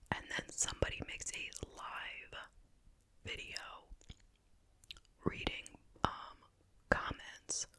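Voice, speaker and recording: A person whispering in a breathy, unvoiced voice, chatting casually and without hurry as an ASMR host during a meal. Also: bright, forceful tone. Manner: casual, unhurried rambling while eating. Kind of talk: whispered ASMR chit-chat mukbang.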